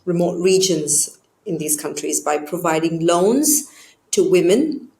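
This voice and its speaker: A woman's voice: fairly low pitch, animated, measured pace, a little mellow. A woman from southern India, explaining her work and career articulately in a conversational interview. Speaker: charity chief executive, interviewee. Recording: roomy, echoing sound.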